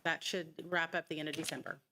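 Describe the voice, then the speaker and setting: A woman's voice: low voice, level tone, fast. Woman presenting at a noisy public meeting. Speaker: city finance official presenting a budget. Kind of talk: formal public budget presentation. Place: council meeting room.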